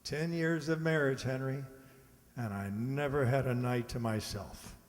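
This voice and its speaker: A man's voice: medium pitch, measured pace, natural voice. Echoey, smug henpecked husband played by a man. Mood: confiding. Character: henpecked husband, quietly smug about his secret scheme. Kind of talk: amateur radio drama acting. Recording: echoey hall, faint background noise.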